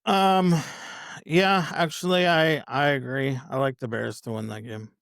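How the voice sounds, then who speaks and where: A man with a gravelly, creaky voice talks casually as a podcast guest. His pitch is ordinary for a man, he tends to repeat himself, and the recording is dry. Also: steady tone, a little slow, fairly bright tone, no room sound. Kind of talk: casual sports chat.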